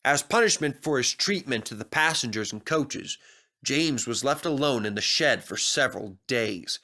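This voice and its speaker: Animated man reading a children's story. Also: medium pitch, normal speed. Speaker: storybook narrator. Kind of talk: expressive scripted children's story narration.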